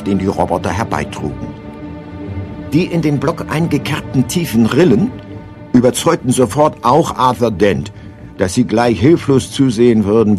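A man narrating a scripted radio play as its storyteller. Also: lowish voice.

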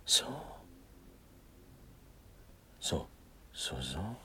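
An actor playing a young, hot-headed, proud Prussian prince in a classical verse radio drama, theatrical and declamatory. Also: male voice, takes it slowly, sharp tone, no echo. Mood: stunned disbelief.

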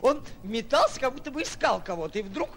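Very high-pitched, fawning chatty waiter. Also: male voice, very animated, quick pace, a bit bright, full character voice. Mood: gossipy, storytelling. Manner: servile, deferential, constantly addressing his superior politely. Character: obsequious, chatty, gossipy male hotel and ship waiter. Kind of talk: 1940s theatrical radio acting. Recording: old 1940s radio recording, faint noise.

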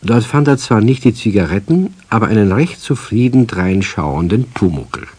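A man narrating a children's radio play as a calm, measured storyteller. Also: fairly low pitch, slightly rough.

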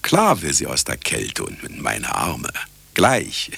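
A man's voice: extremely low pitch, huge pitch swings, slow, vocal fry, bright, forceful tone, slightly rough, dry recording, full character voice. An actor playing a cynical, vain older retired scientist who narrates his own story in a satirical radio play, sardonic and self-important. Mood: wry. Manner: sardonic, self-important, talking to listener and sound engineer. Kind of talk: satirical radio drama monologue.

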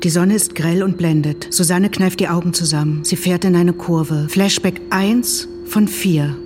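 An actress playing a detached, matter-of-fact narrator who reads screenplay-like directions in short phrases. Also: female voice, on the low side, a little slow, over music, close to an everyday voice.

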